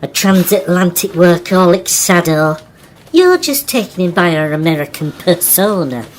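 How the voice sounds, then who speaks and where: A woman acting in an audio drama as a sharp-tongued, sardonic character with a dry, pointed way of talking. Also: fairly low pitch, expressive, moderate pace, slight background noise, natural voice.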